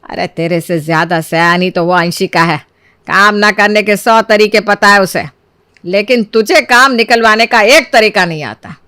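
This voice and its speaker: A woman's voice: mid-range voice, moderate pace, bright, forceful tone, smooth, no echo, studio quality, natural voice. A woman voicing a sly, scolding elderly mother-in-law in a cartoon story, with sarcastic, theatrical needling. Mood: bossy scheming.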